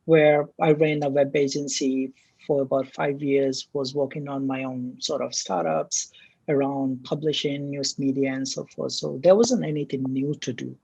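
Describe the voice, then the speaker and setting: A man from the Maldives, a startup CTO, answering interview questions in a relaxed, hesitant way with many fillers. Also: medium pitch, moderate pace, creaky, breathy edge, rough recording.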